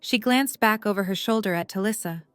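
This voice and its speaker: A woman's voice: mid-range voice, fairly quick, clear, resonant tone. A woman reading scripted story narration as the narrator of an audio drama.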